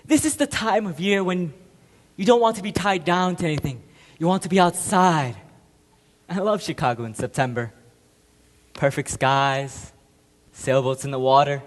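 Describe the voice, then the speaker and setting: A man's voice: fairly high pitch, measured pace, long pauses, natural voice. Young man acting a wistful, rambling man in a reverberant hall. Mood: wistful, content. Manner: reflective, rambling, gently amused. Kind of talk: theatrical stage monologue. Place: large echoing room, performing to an audience.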